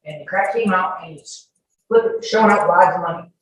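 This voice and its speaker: A woman's voice: lowish voice, brisk. Emphatic woman testifying, very echoey and distant. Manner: emphatic, defensive. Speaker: witness testifying in court. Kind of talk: courtroom testimony under questioning. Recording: echoey, distant courtroom audio with faint noise.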